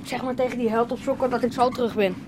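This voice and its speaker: A woman acts a feisty young villager in a radio drama. Her voice is high, her manner blunt and scornful yet caring, with clear ambient noise behind her. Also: steady tone, fast talker, natural voice. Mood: sarcastic, concerned. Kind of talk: naturalistic radio drama acting.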